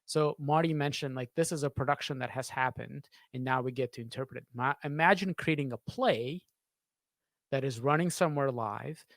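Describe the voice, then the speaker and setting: A man in his thirties talks thoughtfully in an interview, with a medium-pitched voice. He often stops to search for words and restarts his phrases. The sound is close and very dry, from a studio microphone in a small home studio. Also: moderate pace, a bit bright, no echo. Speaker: sound designer. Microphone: studio microphone on a boom arm, close.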